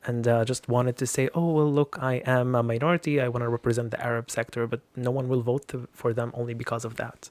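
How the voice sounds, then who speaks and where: A man's voice: mid-range voice, steady tone, rapid-fire, few pauses, a little mellow, no echo. A man from Lebanon, a podcast guest, speaking in a hesitant way with many fillers. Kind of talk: casual interview talk.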